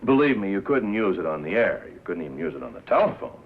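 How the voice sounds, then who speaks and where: A man's voice: fairly low pitch, animated, fast talker, vocal fry, husky, full character voice. An American man acting as a cynical, worldly press agent in a 1950s film drama, with a wry, sardonic manner. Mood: wry, guarded. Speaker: actor in a feature film. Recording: muffled vintage film soundtrack, faint noise.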